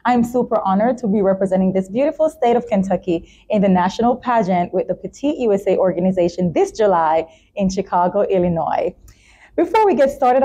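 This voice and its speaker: Mellow-voiced female talk show host. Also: medium pitch. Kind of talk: semi-scripted show presenting and interview.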